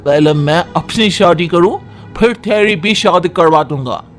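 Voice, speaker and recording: A man's voice: on the high side, measured pace, soft background music, voice pushed down, lightly acted. A man playing a self-interested young brother in a story, speaking insistently. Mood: pushy.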